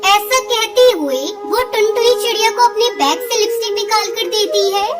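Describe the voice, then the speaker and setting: A woman's voice: extremely high pitch, normal speed, loud music behind the voice. A woman narrating a scripted children's story.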